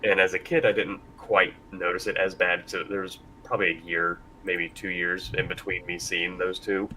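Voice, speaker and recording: A man's voice: low-pitched, level tone, moderate pace, pushed and bright, a little hiss or hum, low-quality recording. A man hosting a casual panel discussion, talking informally before a live room. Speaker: panel host.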